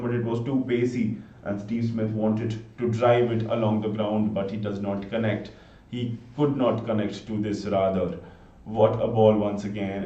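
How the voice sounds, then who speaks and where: A man from India, Hindi his first language, speaking English with an Indian accent as he gives chatty solo live cricket commentary, bursting into excited exclamations and repeatedly pleading for subscriptions. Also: lowish voice. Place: home room, bundled up against the cold. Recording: echoey room, distant mic, dull lo-fi sound.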